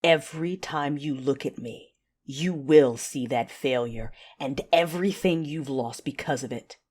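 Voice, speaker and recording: A woman playing a betrayed, commanding dark-side warrior in an audio drama, narrating in the first person with intense, deliberate menace. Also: a bass voice, breathy edge, full character voice. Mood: bitter, menacing.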